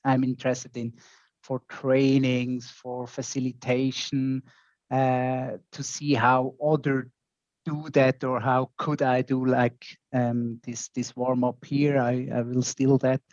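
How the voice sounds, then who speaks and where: A man's voice: medium pitch, little pitch movement, slow pace, a little mellow, no room sound. A man with a Swiss accent introducing himself casually in a meeting as a consultant and trainer. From Switzerland. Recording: dull, lo-fi online call audio.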